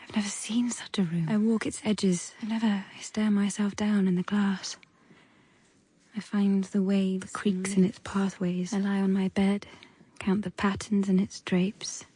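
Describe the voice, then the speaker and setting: A woman playing an uneasy young governess, narrating her thoughts in breathy, halting, often whispered phrases in an audio drama. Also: mid-range voice, little pitch movement. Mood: hushed wonder. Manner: hushed, halting, short poetic phrases. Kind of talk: literary audio drama monologue. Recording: faint music behind the voice.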